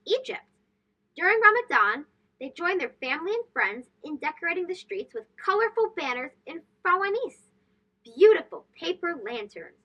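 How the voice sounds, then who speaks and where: A woman reading a picture book aloud to young children in an expressive, sing-song, emphatic way. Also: sits high, low-quality recording. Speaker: children's read-aloud host. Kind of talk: animated storybook read-aloud for young children.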